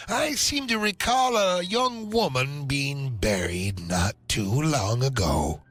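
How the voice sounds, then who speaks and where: Slow, swooping cemetery keeper. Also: male voice, fairly high pitch, a bit bright, no echo, exaggerated voice. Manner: theatrical, musing, drawn-out. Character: obliging, gossipy male cemetery keeper. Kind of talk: dramatic video game cutscene acting.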